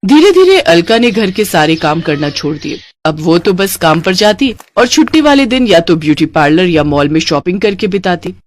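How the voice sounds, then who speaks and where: A woman's voice: lowish voice, no room sound. A woman narrating a scripted cartoon story as the storyteller.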